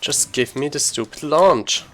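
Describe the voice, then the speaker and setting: A man's voice: on the high side, a little slow, a bit bright. A man giving casual, informal gameplay commentary as a speedrunner, thinking aloud as he plays.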